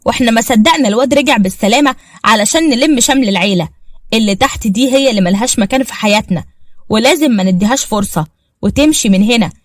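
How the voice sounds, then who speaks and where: A woman's voice: a little high, racing, no room sound, studio quality, close to an everyday voice. A woman with an Egyptian accent voicing a bossy, worried older mother who scolds firmly. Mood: scolding. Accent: Egyptian Arabic. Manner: scolding, firm.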